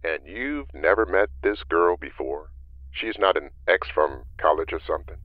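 Muffled by a video call, a blunt, concerned lawyer and old friend. The man's low voice swings expressively in this audio drama. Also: lively intonation, moderate pace, no echo. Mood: skeptical. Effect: video call filter. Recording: dull, band-limited video call audio.

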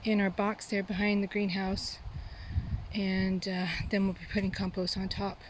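A woman's voice: mid-range voice, flat delivery, normal speed, slight background noise, poor audio. A middle-aged woman chatting casually to the camera as she works outdoors, laughing easily and explaining her work. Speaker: homestead vlogger. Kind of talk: casual chat to camera while working. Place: outdoors in a farmyard garden. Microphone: camera mic, distance varies. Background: outdoor ambience, animals.

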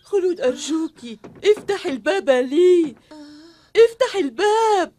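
Very high, pleading cartoon housemaid. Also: female voice, animated, unhurried, mellow. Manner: pleading, tender. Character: anxious, motherly, devoted housemaid. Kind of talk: Arabic cartoon dubbing.

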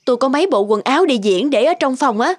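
High-voiced glamorous actress wife. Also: female voice, animated, fast. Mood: breezy, businesslike. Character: glamorous, self-absorbed, persuasive actress wife. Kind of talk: Vietnamese cartoon voice acting. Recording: music behind the voice.

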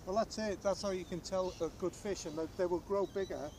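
A man's voice: sits high, steady tone, brisk, darkish tone, a little hiss or hum. A man fishing on a riverbank, trading dry, teasing remarks with his friends, heard from a few metres away. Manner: dry teasing, mock-complaining. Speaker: fishing companion. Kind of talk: casual banter. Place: outdoors on a city riverbank.

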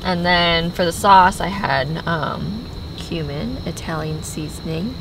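A woman's voice: on the low side, slow. A woman in her twenties chatting casually as a travel vlogger. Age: 20s. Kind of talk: casual vlog chat. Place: small camper van and open beach. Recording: clear background noise, on-location camera audio.

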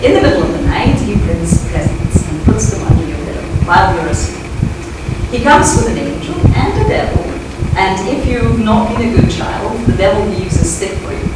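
Very reverberant noisy recording, woman, middle-aged, literary reading, deliberate pauses, theatre stage. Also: mid-range voice, expressive. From Ukraine. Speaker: author reading at a literary event. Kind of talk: literary reading of a personal memoir. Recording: very echoey, distant, loud background noise.

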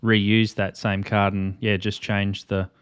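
A man's voice: fairly low pitch, little pitch movement, slow, clear, resonant tone, no echo. A man in his thirties with an Australian accent, hosting a casual chat into a close mic. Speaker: host. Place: home room, indoors. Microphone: close boom mic with headphones.

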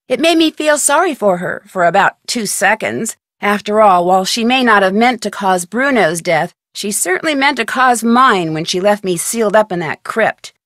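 An actress playing a composed, wry young woman detective, narrating a game's story in a clear, measured way. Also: medium pitch, fairly bright tone, no echo, studio quality, close to an everyday voice. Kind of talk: scripted game narration.

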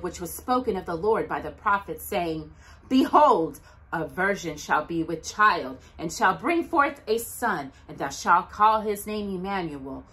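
A middle-aged woman hosting a children's storytime, reading aloud in an expressive, sing-song way to young listeners. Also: mid-range voice, low-quality recording. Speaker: storytime host. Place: indoors at home by a brick fireplace.

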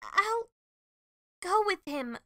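A woman's voice: very high-pitched, very animated, drawn-out words, noisy background, heavily acted. A woman voicing a feisty, lovestruck young hedgehog girl in a cartoon comic dub, emotive and full of exclamation. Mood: hesitant, soft. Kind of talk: fan comic dub acting.

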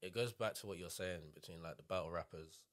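A deep-voiced man talks casually on a podcast. He is blunt and slangy, speaking into a studio microphone. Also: fast, fairly bright tone. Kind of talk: casual chat.

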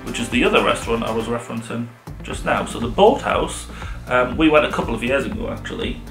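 A man's voice: mid-range voice, quick pace, a bit bright, echoey room. A middle-aged man, chatting casually and enthusiastically to camera as a vlog co-host. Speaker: vlog co-host reviewing restaurants. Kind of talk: casual sit-down chat to camera. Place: living room sofa, indoors. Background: background music.

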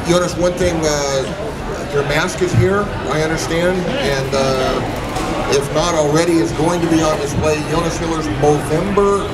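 A man's voice: on the high side, normal speed, over loud music, poor audio. A middle-aged man hosting a fan event, upbeat and announcing. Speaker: event host. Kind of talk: event emcee. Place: indoor rink event stage. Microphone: handheld mic.

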